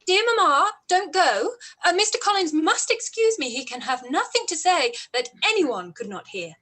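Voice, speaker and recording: An actress playing a spirited young gentlewoman, polite, formal and firm, in a period radio play. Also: sits high, big pitch swings, moderate pace, heavily acted. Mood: alarmed, pleading. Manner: formal, composed, emphatic. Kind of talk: period drama live-read radio play.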